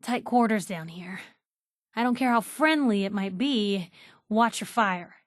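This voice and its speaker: An actress playing a sardonic, plucky young reporter with an American accent, making casual companion remarks in a video game. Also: female voice, mid-range voice, measured pace, dry recording, studio quality, close to an everyday voice. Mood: wry. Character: wry, plucky young female reporter in a post-nuclear wasteland.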